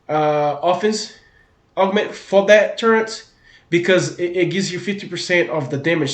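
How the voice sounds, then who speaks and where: A man from Brazil with a Brazilian Portuguese accent, giving a relaxed, filler-heavy voice-over about a video game. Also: a little high. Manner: relaxed, many fillers and restarts. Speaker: gaming YouTuber. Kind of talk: casual game guide voice-over. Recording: echoey room.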